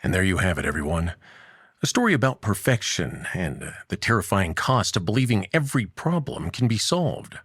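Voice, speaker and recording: A man narrating a scripted audio story as its host, in a measured, dramatic way with deliberate pauses. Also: low-pitched, big pitch swings, a bit bright, husky, no room sound, clean studio sound.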